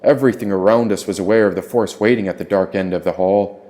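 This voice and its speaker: A man's voice: on the low side, fast talker, mellow. A man reading an audiobook as a dramatic first-person story narration. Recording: echoey, long reverb tail.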